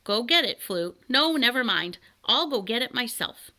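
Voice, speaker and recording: A woman voicing a pompous, excitable male canary king from a storybook, commanding and self-important. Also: a little high, moderate pace, pitched above the performer's own voice. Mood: bossy, impatient.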